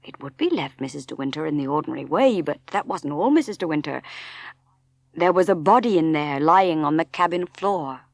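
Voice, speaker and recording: Woman putting on a gruff, emphatic harbour master. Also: low voice, lively intonation, no room sound, exaggerated voice. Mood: blunt, official. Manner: blunt, measured, emphatic, apologetic. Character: gruff, plain-spoken harbour master, a reluctant older captain. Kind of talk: dramatic audiobook character reading.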